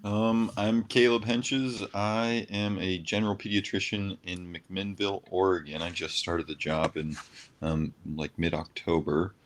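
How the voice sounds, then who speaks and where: A young man, a new doctor introducing himself on a video call in a soft-spoken, halting way. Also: sits low, little pitch movement, a little hiss or hum. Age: young adult. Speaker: panelist, pediatrician.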